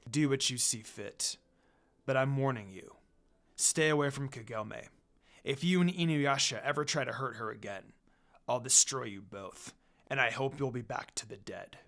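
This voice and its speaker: Proud demon lord, read by a male narrator: icy, measured, quietly threatening, for a fantasy fan story. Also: medium pitch, pitched below the performer's own voice, lightly acted. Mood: quiet threat. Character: proud, cold demon lord, protective elder brother.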